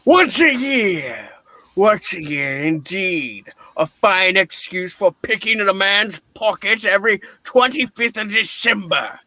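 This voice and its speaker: A man acting out a miserly old boss with a high, bright voice. He speaks slowly and scornfully, snapping into curt barks, in radio play fashion. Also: lively intonation, no echo, exaggerated voice. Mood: indignant. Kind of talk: theatrical radio play reading.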